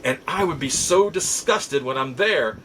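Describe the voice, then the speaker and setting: A middle-aged man telling stories casually as a host, halting and often backtracking to repeat his phrases. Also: fairly high pitch, expressive, a bit bright, slight background noise, poor audio. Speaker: podcast host and collector. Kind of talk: casual rambling storytelling.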